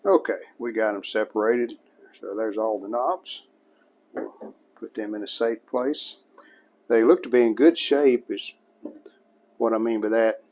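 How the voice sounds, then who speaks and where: Male hobbyist restorer, lowish pitch, very slow delivery, casual plain-spoken workbench narration. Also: darkish tone. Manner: unhurried, plain-spoken thinking aloud while working. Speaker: hobbyist restorer presenting a repair video. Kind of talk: casual hands-on repair narration.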